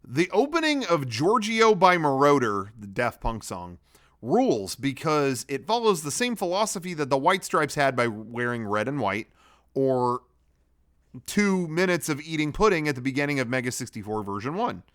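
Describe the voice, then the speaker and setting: A man with a very lively, swooping delivery at an ordinary middle pitch. He talks like a casual comedy web host, reading short bits aloud and then ranting about them in blunt, sweary language. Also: normal speed. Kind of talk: reading own draft tweets with casual, ranting commentary.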